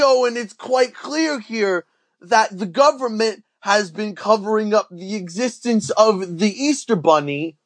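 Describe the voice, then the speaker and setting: A man's voice: sits high, no room sound. A man playing a dim, earnest Canadian podcast character with a put-on Canadian accent and a rambling, folksy manner. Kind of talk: comedy podcast character banter.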